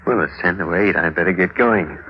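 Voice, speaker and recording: An actor playing a rational, patronising husband in a 1940s radio drama, soothing and dismissive. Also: male voice, sits low, a little fast, slightly rough. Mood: placating. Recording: muffled, slightly noisy old radio recording.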